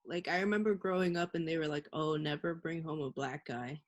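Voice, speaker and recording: A woman's voice: sits low, fairly even intonation, fairly quick. A young woman co-hosting a casual, joking group chat on a podcast. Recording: clearly noisy background.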